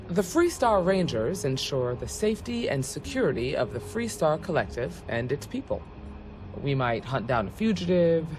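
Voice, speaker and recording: A woman's voice: deep voice, a little hiss or hum. A woman playing an earnest, folksy female ranger recruiter in a video game. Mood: earnest, matter-of-fact. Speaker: recruiting officer. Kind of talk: video game character dialogue. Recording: game audio with loud music bed. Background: music.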